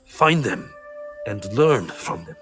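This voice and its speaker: An actor playing a grave, gentle middle-aged father and martial mentor in a video game, measured and instructive. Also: on the low side, big pitch swings, over music. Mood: calm, instructive. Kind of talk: dramatic video game cutscene acting.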